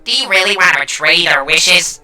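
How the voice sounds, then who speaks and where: A woman's voice: lowish voice, expressive, fairly quick, sharp tone. A woman voicing a gleefully sadistic, theatrical teenage girl villain in an anime fan dub, taunting and melodramatic. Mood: scornful, disappointed. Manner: taunting, melodramatic, mock-sweet.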